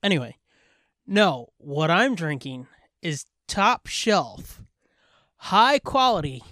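Male podcast co-host, very lively pitch swings, close dry sound, crude joking banter. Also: takes it slowly, no room sound. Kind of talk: casual comic banter.

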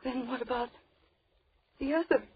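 An actress playing a frightened young woman pleading haltingly in an old radio horror drama. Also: high-pitched, husky. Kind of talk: 1930s radio horror acting. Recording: dull old radio broadcast recording.

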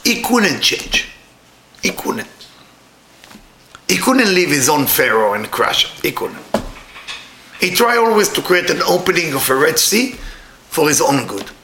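A middle-aged man with an Israeli accent giving a spiritual lecture to a live audience. He speaks with non-native grammar and jumps up high when he acts out what other people said. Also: sing-song, unhurried, pauses often, sharp tone, reverberant, a little hiss or hum, low-quality recording.

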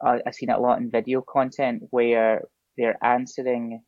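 A man's voice: medium pitch, flat delivery, moderate pace, dry recording. A man with a Scottish accent, a guest chatting casually on a podcast with a lot of filler ums. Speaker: SEO guest. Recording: dull lo-fi podcast audio.

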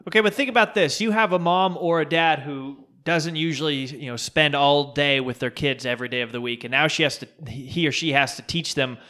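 Guy in a podcast chat, bright smooth voice, laying out his point. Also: fairly high pitch, fairly quick, hardly any pauses. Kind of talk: casual chat.

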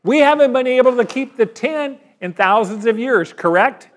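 A man's voice: extremely high pitch, animated, normal speed. A man preaching a sermon in an emphatic way, often asking the listeners rhetorical questions. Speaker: pastor.

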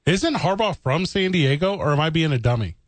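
A man's voice: a little high, animated, fairly quick, no room sound. A man from the St. Louis area co-hosting a casual sports podcast, riffing and joking. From St. Louis area, USA. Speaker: podcast co-host.

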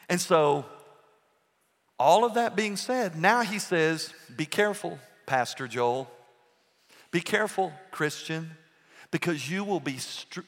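A pastor preaching a sermon, emphatic and full of rhetorical questions. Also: male voice, high voice, fairly bright tone. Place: church sanctuary. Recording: long reverb in a church hall.

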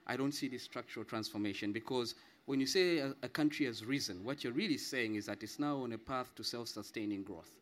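Male panelist, very quick medium-pitched delivery, emphatic and repetitive. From Zambia. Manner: emphatic repetition, frequent checking "right?". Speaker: panel guest. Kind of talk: spontaneous explanatory discussion.

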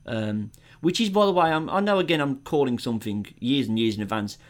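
A man's voice: mid-range voice, lively intonation, a little fast. Chatty opinionated man. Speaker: podcast co-host. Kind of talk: casual film chat.

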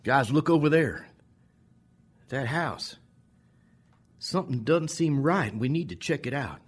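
A man's voice: mid-range voice, expressive, unhurried. An actor playing a gritty, combative young American infantryman in a radio war drama, terse and commanding. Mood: wary, commanding. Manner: terse, commanding, rough soldier talk. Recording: loud battle effects behind the voice. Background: gunfire and artillery.

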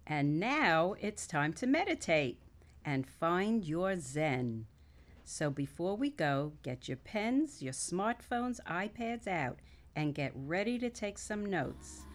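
A woman's voice: on the low side, very animated, slow. A woman co-hosting a talk radio show, speaking in a casual style.

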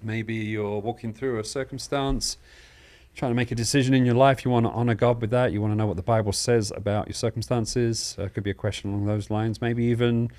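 A man's voice: medium pitch, steady tone, brisk, a little mellow. A man hosting a live question-and-answer broadcast, chatty and welcoming, explaining things informally. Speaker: host of a live Bible question show.

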